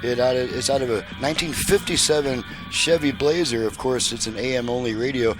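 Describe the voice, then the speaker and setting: A man giving a casual, halting demonstration as a repairer, thinking aloud as he goes. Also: medium pitch, music in the background, poor audio. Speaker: radio repairer demonstrating his work.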